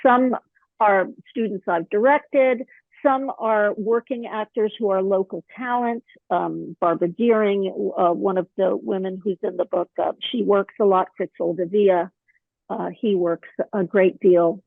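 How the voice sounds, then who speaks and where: A slightly hoarse woman chatting as an interview guest, telling stories animatedly with lots of fillers. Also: mid-range voice, steady tone, unhurried, dry recording. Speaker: podcast guest, author and actor. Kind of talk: casual interview chat. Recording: dry, thin phone-line sound.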